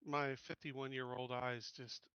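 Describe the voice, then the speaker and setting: A man in his fifties with a very bright, forceful voice at medium pitch. He talks bluntly and casually as a tabletop player, on a close, dry recording that is slightly muffled. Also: little pitch movement, a little slow, clean tone, dry recording. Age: 50s. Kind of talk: casual game chat.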